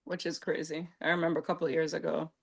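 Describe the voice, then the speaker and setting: A woman's voice: deep voice, flat delivery, fast, vocal fry, husky. A woman realtor on a webinar panel, explaining in an easy, conversational way over a video call.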